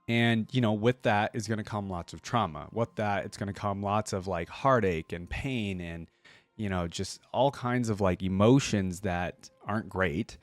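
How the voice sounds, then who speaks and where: A man's voice: on the low side, no echo. A man hosting a reaction video, talking casually in an informal, slangy, rambling way.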